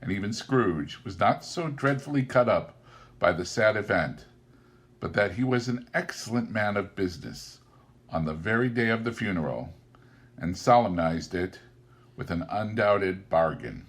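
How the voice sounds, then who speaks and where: A man reading a classic story aloud as a narrator, with deliberate, theatrical and emphatic phrasing. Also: lowish voice, expressive. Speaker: audiobook narrator.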